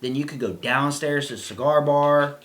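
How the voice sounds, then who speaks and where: Thirties male podcast co-host, casual rambling chatter, animated delivery. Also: a little high, normal speed. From southwestern Pennsylvania. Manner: rambling, restarts sentences, animated. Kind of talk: casual chat.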